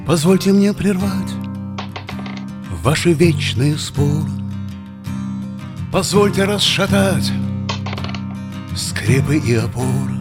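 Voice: mid-range voice, takes it slowly, pauses often. A performer of unclear sex declaims rhyming verse theatrically and rhythmically over guitar music. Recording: loud plucked guitar music throughout.

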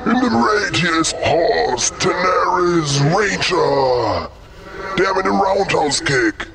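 A man playing an excitable, polite demon boss with a gushing, courteous manner in a German fantasy parody audio drama. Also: sits high, slow pace, vocal fry, over loud music, full character voice. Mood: starstruck.

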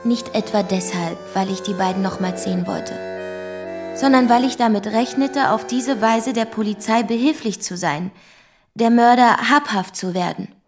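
An actress playing a composed, formal woman who gives a measured, matter-of-fact witness account in a radio play. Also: fairly high pitch, natural voice. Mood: composed, sober. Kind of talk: radio play narration, scripted. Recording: music behind the voice.

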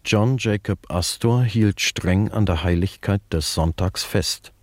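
A man's voice: low voice, a little slow, dry recording. A man playing a formal reporter, narrating in a scripted radio play. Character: formal male reporter describing elegant passengers. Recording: loud music behind the voice. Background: loud music.

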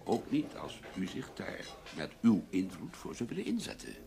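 A man's voice: fairly low pitch, huge pitch swings, normal speed, dark tone. An actor playing a blunt, calculating businessman in a Dutch radio play, direct and coolly polite. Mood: probing, cool. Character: blunt, calculating businessman negotiating over staff.

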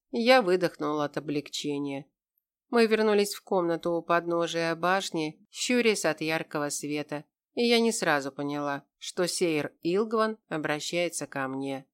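A woman's voice: on the low side, clean tone. A woman reading a scripted first-person fantasy story as an audiobook narrator.

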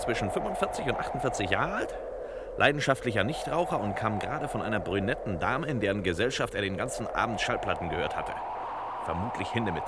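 An actor playing a self-assured, know-it-all master detective in a crime radio play parody, with pompous, clipped delivery. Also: male voice, mid-range voice, close to an everyday voice. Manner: pompous, clipped, precise deductions. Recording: noisy old radio drama recording.

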